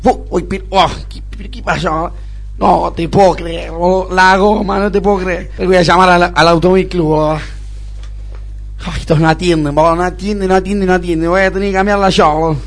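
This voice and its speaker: A man's voice: sits high, fairly even intonation, a little fast. A man playing a spoiled, snobbish young Argentine businessman in a radio comedy-drama, whining, stammering and repeating himself. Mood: angry, panicked. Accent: Argentine (Rioplatense).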